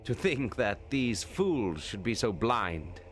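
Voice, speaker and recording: A man plays an arrogant military lord, pitched up from a deep narrating voice. The delivery is slow, drawn-out and full of haughty disdain, in a dramatic sci-fi reading over soft music. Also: very animated. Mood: disdainful. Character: arrogant, haughty male lord commander of elite soldiers. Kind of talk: dramatic audiobook-style reading of science fiction.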